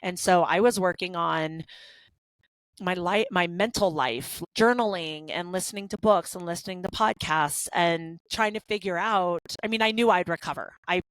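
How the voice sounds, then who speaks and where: Woman, 30s, podcast co-host: low-ish, chatty, candid, dry studio sound. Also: moderate pace, dry recording, rough recording. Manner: chatty, candid, many fillers and restarts. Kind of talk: casual podcast conversation.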